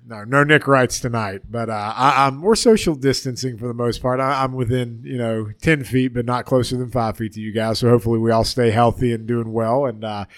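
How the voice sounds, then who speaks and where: A man's voice: medium pitch, steady tone, a little fast, hardly any pauses. A man with a head cold hosts a laid-back sports chat, his voice stuffed up and a little nasal. He rambles and restarts often. The sound is close and dry, with no room echo. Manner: rambling, many restarts and fillers. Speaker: podcast host. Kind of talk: casual sports podcast chat. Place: indoor room at home.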